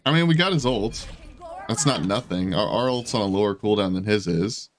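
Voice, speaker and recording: Congested guy doing rambly gameplay commentary, lowish voice, says dude and bro, noisy audio w clicks. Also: animated, normal speed. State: congested, voice giving out. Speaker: gaming YouTuber.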